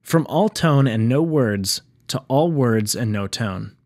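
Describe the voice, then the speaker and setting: A man hosting an explainer video, reading scripted narration in a clear teaching structure. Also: medium pitch, expressive, slow pace, darkish tone, no echo, studio quality. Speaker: video essay host on game sound design.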